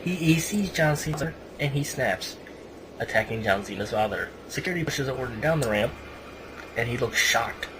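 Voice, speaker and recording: A man's voice: medium pitch, expressive, moderate pace. A man hosting a podcast, giving a casual, sarcastic play-by-play recap. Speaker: podcast host. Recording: clearly noisy home podcast recording.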